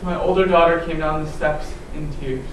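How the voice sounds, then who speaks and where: Theatrical storyteller for ensemble readers theatre, emphatic, mid pitch, recorded far off in a very echoey hall. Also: fairly even intonation, moderate pace, a little hiss or hum. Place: large indoor performance space, far mic.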